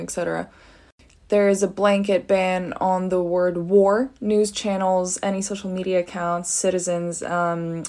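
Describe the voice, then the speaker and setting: A woman raised in California speaks in a low register with a gravelly edge. She delivers an earnest, urgent explainer monologue from a short video, filling pauses with "um." The tone stays informative and pressing throughout. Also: a little mellow. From United States (California). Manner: urgent, informative, many "um" fillers. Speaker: social media creator.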